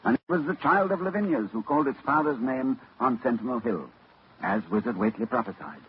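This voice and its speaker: A man's voice: medium pitch, expressive, a little fast, natural voice. A man playing a grave, urgent old professor. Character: older male scholar facing a monster. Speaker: Doctor Armitage. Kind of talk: 1940s radio drama acting. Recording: dull old radio recording with hiss.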